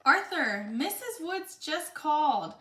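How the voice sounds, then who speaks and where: Woman reading a kind mom in a kids story, slow and bright. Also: high-pitched, big pitch swings, far from the mic, a little hiss or hum, voice pushed up, heavily acted. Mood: calling. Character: kind grown-up mother, calm. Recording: echoey room.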